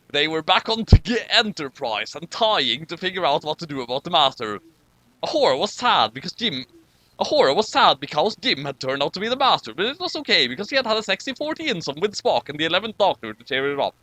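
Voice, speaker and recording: A man reading comic fan fiction aloud with exclamatory, animated delivery and amused asides. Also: a little high, fairly quick, no room sound.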